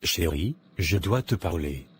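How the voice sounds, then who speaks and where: Earnest husband with a deep voice, acted. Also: male voice, animated, a little slow. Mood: serious. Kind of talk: animated moral drama dialogue. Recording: faint background noise.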